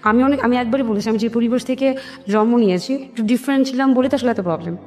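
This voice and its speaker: A woman's voice: a little high, quick pace, a little mellow. A woman with a Bangladeshi accent telling her life story in an interview, speaking earnestly and emphatically and acting out remembered dialogue. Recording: long reverb, music behind. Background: music.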